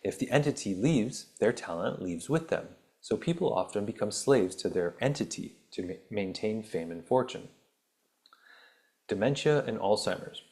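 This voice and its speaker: A man hosting a spiritual healing podcast, reading an article aloud and then rambling casually with many ums, his voice turning raspy. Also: lowish voice, animated, normal speed, darkish tone. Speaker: podcast host.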